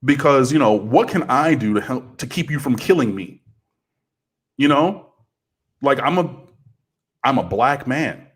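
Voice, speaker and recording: A man's voice: mid-range voice, animated, unhurried, pauses often, husky. A man with a big voice talking earnestly on a podcast, growing impassioned as he speaks. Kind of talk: casual talk.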